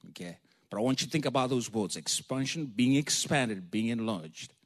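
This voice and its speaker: A man from Africa, a preacher giving a sermon in a lively, joking, storytelling way and calling for amens. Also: mid-range voice, moderate pace.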